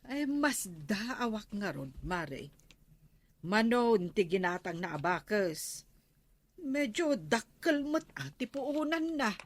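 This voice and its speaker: An actress playing an inquisitive, gossiping village neighbour in a radio comedy drama, with an Ilocano accent. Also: female voice, medium pitch, big pitch swings, slow. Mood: curious.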